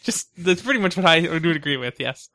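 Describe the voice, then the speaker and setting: A man's voice: fairly high pitch, lively intonation, fairly quick, pushed and bright. A man co-hosting a podcast in casual banter with a dry, sardonic manner. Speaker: podcast host.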